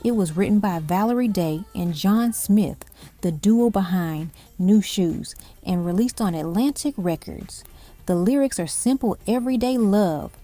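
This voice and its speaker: Mellow-voiced woman narrating a music countdown. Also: mid-range voice. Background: faint music under the voice.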